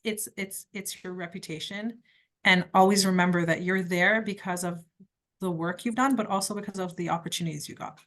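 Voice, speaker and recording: A woman's voice: fairly low pitch, steady tone, fairly quick, a bit bright. A middle-aged woman from Quebec, a criminal defence lawyer, giving direct, emphatic advice in an interview. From Quebec, Canada. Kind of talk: conversational interview answers.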